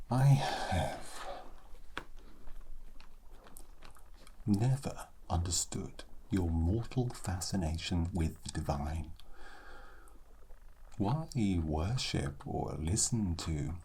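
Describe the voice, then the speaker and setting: Performer acting as sassy undead immortal, deep pitch, breathy whispering, halting, dry scorn for mortals, ASMR roleplay, faint hiss. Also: warm tone, heavily acted. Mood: scornful musing. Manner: slow, halting, whispery, sardonic, philosophical.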